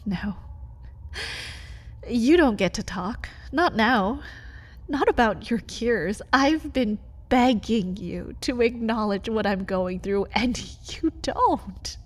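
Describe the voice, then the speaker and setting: Caged vampire lover , played by a woman: unhurried, husky-breathy, intimate ASMR delivery, pleading with a bitter edge. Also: a little high, full character voice. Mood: hurt, angry. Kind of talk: ASMR roleplay.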